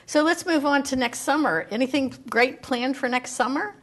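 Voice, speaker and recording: A woman's voice: sits high, moderate pace, a bit bright, a little hiss or hum. A woman hosting a local TV health program, interviewing guests in an upbeat, encouraging way. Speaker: TV health show host.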